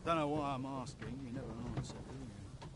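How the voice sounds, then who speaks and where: An actor playing a weary, callous, mercenary cart driver in a fantasy video game, muttering sardonically in archaic phrasing. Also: male voice, a little fast, lightly acted. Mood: sardonic, callous. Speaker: non-player character in a fantasy video game. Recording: game ambience with rumbling cart noise.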